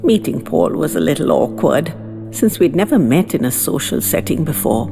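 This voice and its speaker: A genteel woman in her seventies, acted by a female narrator tells her story with animated, swooping intonation. Her voice sits slightly low, in long reverb over music. The wording is old-fashioned and dramatic, in the manner of an audio story. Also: moderate pace, creaky, full character voice. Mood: reflective. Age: 70s.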